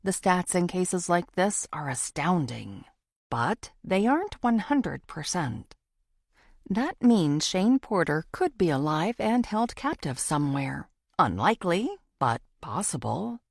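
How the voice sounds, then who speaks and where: A woman's voice: fairly low pitch, vocal fry, dry recording, clean studio sound. A woman narrating a first-person thriller audiobook from a script.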